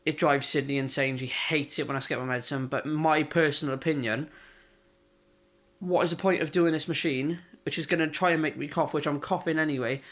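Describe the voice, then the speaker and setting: A man's voice: a little high, fairly even intonation, a little fast, a bit bright. A young man, chatting in a rambling home video diary, congested and coughing with a sore throat. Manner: rambling, chatty, frequent fillers and restarts. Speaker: vlogger.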